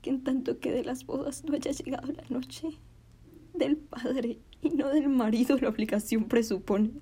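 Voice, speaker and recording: A woman performing a classical Spanish verse monologue as a wronged, defiant young village woman, declaiming slowly and with anguish in a breathy, husky voice. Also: high-pitched, steady tone, warm tone. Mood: anguished, reproachful. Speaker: drama student actor.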